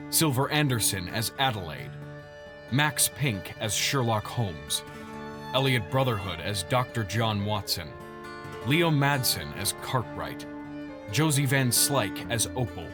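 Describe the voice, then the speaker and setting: Casting: male credits announcer, mid-pitched, lively intonation, scripted read over music. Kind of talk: scripted narration. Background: guitar music.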